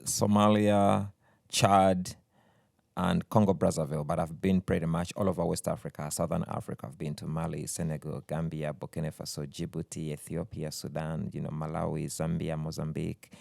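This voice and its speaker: A man's voice: a bass voice, little pitch movement, gravelly, a little mellow, dry recording. A man from Uganda whose first language is Luganda, a musician talking thoughtfully with many fillers in a radio studio interview. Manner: thoughtful, unhurried, with frequent fillers. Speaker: musician guest.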